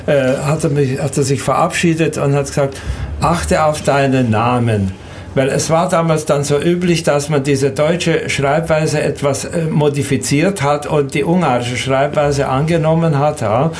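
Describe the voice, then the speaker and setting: An elderly man who grew up in Serbia and Hungary, recounting his life in an interview in a halting, hesitant way with repeated words. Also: on the high side, slight background noise. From Danube Swabian from Serbia and Hungary. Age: 70s or older. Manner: halting, hesitant, repeats words, often ends with a questioning tag.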